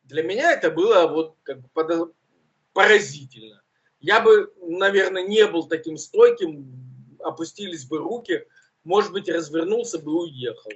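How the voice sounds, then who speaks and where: A man with a burred, uvular R, co-hosting a casual news chat and talking in a relaxed, self-mocking way with many fillers. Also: a little high, normal speed, dull audio. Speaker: co-host, journalist.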